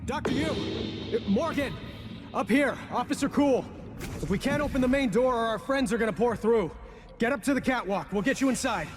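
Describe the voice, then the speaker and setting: Frantic high voiced crewman yelling directions, video game, music behind. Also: moderate pace. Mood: urgent, excited. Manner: calling out urgently. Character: excitable male station crewman shouting directions.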